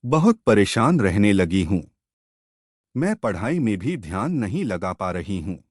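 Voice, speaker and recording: A man's voice: on the low side, a little mellow, no room sound, studio quality. A man reading scripted relationship stories and advice as a narrator. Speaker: story channel narrator.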